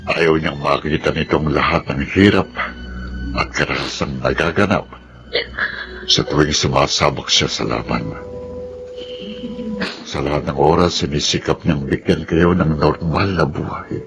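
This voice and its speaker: An actor with a Filipino accent playing a grave, sympathetic military officer with a deep gravelly voice in a radio drama. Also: male voice, steady tone, a little slow, long pauses, over music, full character voice. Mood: solemn, sympathetic.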